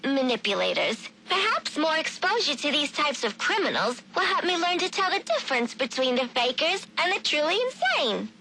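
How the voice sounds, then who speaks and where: A woman's voice: sits high, sing-song, moderate pace, hardly any pauses, background noise. A woman voicing a keen young psychiatry resident in a video game audio log, polite, earnest and subtly calculating. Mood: earnest, persuasive.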